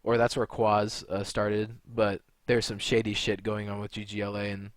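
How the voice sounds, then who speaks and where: A man's voice: fairly low pitch, completely flat delivery, normal speed, no echo. Rambling young man, dry close mic. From California. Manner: rambling and blunt, with many fillers and restarts. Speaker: video creator and gamer. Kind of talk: unscripted monologue to camera.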